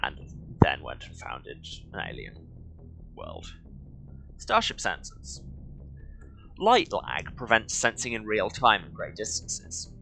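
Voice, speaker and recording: A man's voice: fairly low pitch, huge pitch swings, unhurried, creaky, pushed and bright, soft background music, poor audio. A man reading game lore aloud as a streamer, breaking off for wry, offhand commentary. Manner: wry, sardonic asides. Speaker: game streamer, video host.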